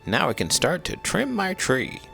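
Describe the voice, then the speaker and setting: A man voicing an excitable old gentleman in a storybook reading, exclaiming loudly. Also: fairly high pitch, unhurried, creaky, soft background music, voice pushed up, exaggerated voice. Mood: delighted.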